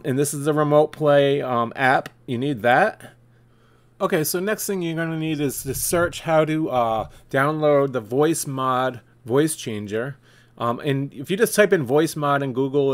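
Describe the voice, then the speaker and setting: A man hosting a casual step-by-step screen tutorial. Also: medium pitch. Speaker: tutorial video host.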